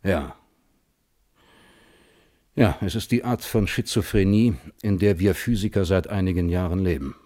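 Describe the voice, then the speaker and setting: An actor playing a guarded, reflective physicist on the witness stand in a German radio play, answering tersely and precisely. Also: male voice, low-pitched, a little slow, pauses often, warm tone. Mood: troubled, reflective. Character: measured, guarded male physicist testifying as witness. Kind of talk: German radio play acting, hearing testimony.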